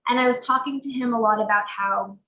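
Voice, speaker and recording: Young woman actor, Portuguese native, casual rambling interview talk with a gravelly edge. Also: fairly high pitch, fast talker, a bit bright. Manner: chatty, lots of fillers like "um" and "like". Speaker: actor guest. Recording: roomy echo.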